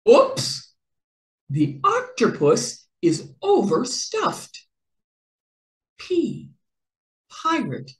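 A middle-aged woman reading a children's picture book aloud in an expressive, deliberate storytelling manner with careful enunciation. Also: medium pitch, reverberant. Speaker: read-aloud host for children.

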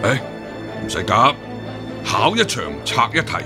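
A man with a Hong Kong Cantonese accent playing an unhurried, courteous senior examiner in a radio drama, measured and formal. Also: mid-range voice, wildly swooping pitch, music in the background. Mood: calm.

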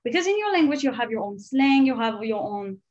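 A soft-spoken woman with a French accent tells a personal story over a video call. She sounds reflective and candid, and French is her first language. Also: a little high, big pitch swings, a little fast, muffled recording. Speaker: former student.

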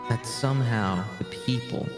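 A man's voice: mid-range voice, unhurried, over music. A man speaking in character in a dramatic game roleplay.

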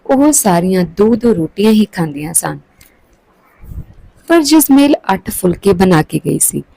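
A woman's voice: medium pitch, big pitch swings, unhurried, gravelly, warm tone, no room sound, poor audio. A woman narrating a scripted story.

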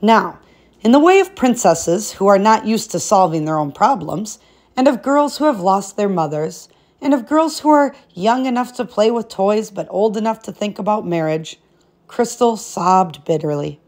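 Female storybook narrator for a fairy-tale read-aloud, expressive, mid-range voice. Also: medium pitch, moderate pace.

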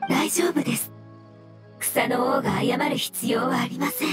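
A sorrowful little floating creature from a video game, played by a woman. The voice is high and female, with formal, humble, halting phrasing. Music plays clearly underneath. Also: close to an everyday voice. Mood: grateful, reverent. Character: sorrowful, courteous floating jellyfish-like fungus creature.

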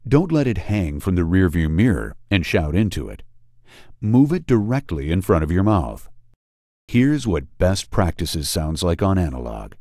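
A man reading scripted instructional narration for a training video, deliberate and emphatic. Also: low voice, very animated, mellow, dry recording, clean studio sound. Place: studio voice-over.

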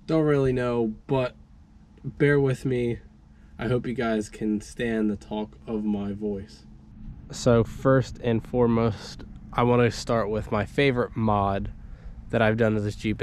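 Young man, stuffy cold, lowish voice, casual vlog talk, action camera, faint outdoor noise. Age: young adult. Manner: casual, relaxed, with frequent ums and likes. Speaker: vlogger. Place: inside a parked vehicle, then outdoors beside it.

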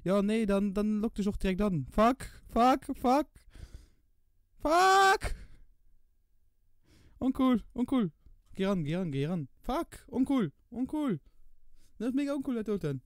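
A man with a Luxembourgish accent giving casual gameplay commentary, excitable and repeating words in quick bursts. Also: high voice, no room sound, clean studio sound. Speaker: gamer commentating own play.

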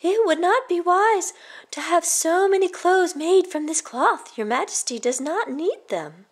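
Woman playing a young storybook boy, high voice, modest, wise, gently cautioning. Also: expressive, measured pace. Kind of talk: storybook read-aloud.

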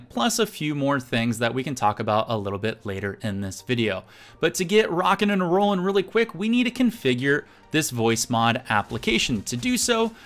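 A man in his thirties giving an upbeat, casual instructional software tutorial. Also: a little high. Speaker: tutorial host. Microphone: USB studio microphone.

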